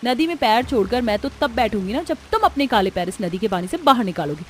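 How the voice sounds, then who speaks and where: Spiteful young sister for a cartoon played by a woman: high, animated delivery, sneering and snappish. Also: fast, slight background noise. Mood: scornful. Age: young adult. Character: haughty, spiteful fair-skinned young sister. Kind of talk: Hindi cartoon story acting.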